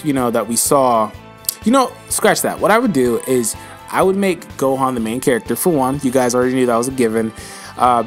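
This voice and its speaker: A young man answering viewer questions in a casual vlog, rambling and slangy and calling viewers bro, with his voice starting to go. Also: medium pitch. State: losing his voice.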